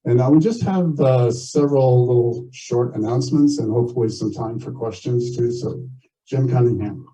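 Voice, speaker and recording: A man chairing a community meeting, making informal announcements in a halting way. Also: medium pitch, mellow, faint music behind. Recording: muffled, dull meeting audio.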